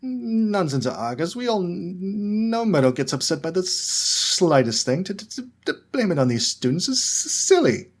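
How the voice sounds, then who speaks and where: A man's voice: high voice, sing-song, voice pushed up. A man voicing a nervous male professor who stutters and repeats himself. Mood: flustered. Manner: stammering, halting.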